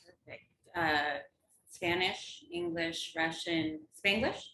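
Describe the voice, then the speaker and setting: A woman's voice: low-pitched, takes it slowly, sharp tone. A woman hosting an interview, asking friendly, meandering questions with fillers. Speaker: host. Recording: roomy with faint background noise.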